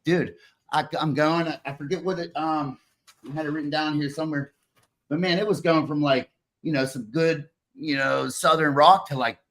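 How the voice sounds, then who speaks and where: A man hosting a live podcast, chatting casually in an excitable, rambling way on a video call. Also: fairly high pitch, normal speed. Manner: excitable, rambling, says "dude" and "bro". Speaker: podcast host. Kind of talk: casual chat.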